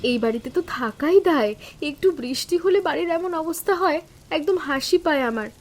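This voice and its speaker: A woman playing a young, caring but anxious city-bred younger daughter-in-law in an animated family story, expressive and full of questions. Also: high voice, brisk, slight background noise. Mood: alarmed, complaining.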